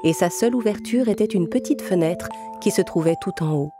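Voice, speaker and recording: A woman's voice: medium pitch, expressive, a little fast. A woman reading a scripted fairy tale as a storyteller. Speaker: narrator. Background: music.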